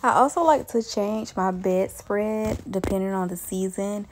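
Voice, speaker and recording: A young woman narrating her cleaning routine in a relaxed, chatty way, with many casual fillers. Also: medium pitch, unhurried, soft background music. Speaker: lifestyle vlogger.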